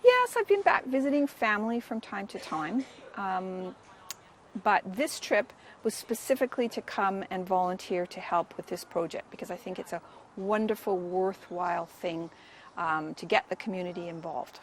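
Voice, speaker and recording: A woman's voice: mid-range voice, animated, moderate pace, a little hiss or hum. Earnest Canadian woman in her sixties. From Wales. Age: 60s. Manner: earnest, thoughtful, many ums. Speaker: dig volunteer. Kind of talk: on-camera interview.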